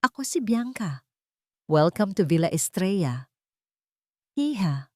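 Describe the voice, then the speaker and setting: A woman's voice: medium pitch, very animated, slow pace, dry recording, studio quality. Sweet, calculating rich lady, read by a woman. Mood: syrupy, cool. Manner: honey-sweet, polite. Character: elegant, sweetly fake, calculating mistress of a mansion.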